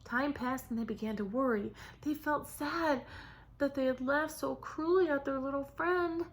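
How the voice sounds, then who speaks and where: A woman reads a children's story as a storyteller for young kids, in a dramatic manner with drawn-out words and hushed moments. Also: high-pitched. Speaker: storybook reader for preschoolers. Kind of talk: expressive children's story narration.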